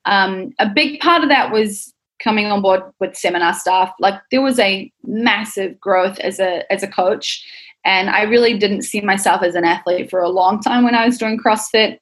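A woman's voice: medium pitch, fairly even intonation, normal speed. A young adult woman with a New Zealand accent, talking casually, candidly and thoughtfully about herself as an interviewed athlete and coach.

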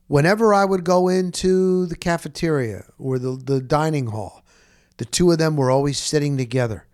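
A man with a slightly high-pitched voice speaks as a podcast host. His delivery is conversational and rambling, and he leans on repeated phrases for emphasis. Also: a little mellow. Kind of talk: casual conversational podcast introduction.

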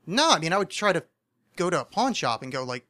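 Man, from New Jersey, podcast host, crude rambling banter, high-ish bright voice, dry mic. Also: sing-song, no echo. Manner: rambling, self-mocking, quick restarts.

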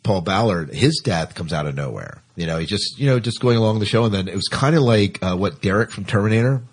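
A man's voice: lowish voice, lively intonation, fast talker, breathy edge. A man hosting a podcast in a casual discussion of TV. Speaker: podcast host.

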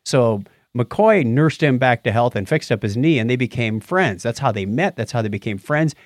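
A man's voice: medium pitch, expressive, normal speed, no room sound, clean studio sound. A man co-hosting a podcast in a casual, conversational style. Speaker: podcast co-host. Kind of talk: casual conversational chat and commentary.

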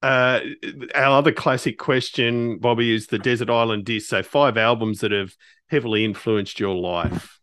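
A man's voice: mid-range voice, fairly even intonation, normal speed, fairly bright tone, clean tone. A man hosting an interview in a casual, conversational style. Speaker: interview host.

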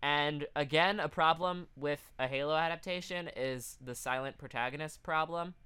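A man's voice: a little high, normal speed, sharp tone, clear, resonant tone, no room sound. A man co-hosting a podcast in casual chat, reading out a list with blunt, sweary asides. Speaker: podcast co-host.

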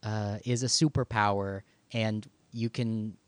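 A man's voice: on the low side, fairly even intonation, a little slow, clear, resonant tone, no echo. A man hosting a podcast in casual conversation, thinking aloud and often doubling back on his words. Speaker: podcast host and audio producer.

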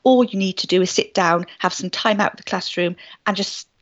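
A woman with a medium-pitched voice speaks as an education researcher in an interview. She explains things conversationally, using anecdotes and repeating words for emphasis. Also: fairly quick, lo-fi sound.

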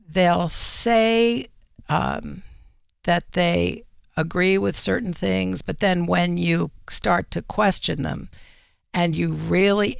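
A woman's voice: on the low side, gravelly, no room sound. A woman speaking as a magazine editor being interviewed, explaining her views in an earnest, emphatic, conversational way. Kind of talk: conversational interview commentary on religious issues.

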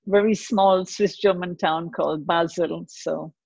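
A woman from India speaks casually as a podcast guest, with a husky, creaky voice. The recording is close but a little dull and lo-fi. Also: lowish voice, steady tone, unhurried, a little mellow, no echo. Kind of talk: casual chat about personal story.

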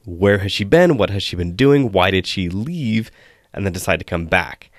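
A man hosting a solo podcast, hoarse and losing his voice, chatting with wry asides. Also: low voice, lively intonation, normal speed, no echo, clean studio sound. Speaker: podcast host.

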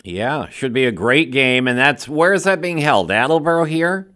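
A man's voice: mid-range voice, expressive, normal speed, a bit bright. A man filling in as a radio host, chatting casually in a folksy, bantering way. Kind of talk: casual local radio talk.

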